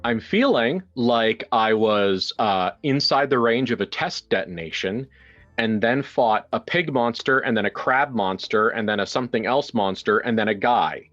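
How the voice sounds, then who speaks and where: A man playing a weary, sardonic, not-quite-human man with a dry deadpan delivery in a horror roleplaying game. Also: mid-range voice, moderate pace, muffled recording, close to an everyday voice. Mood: weary sarcasm.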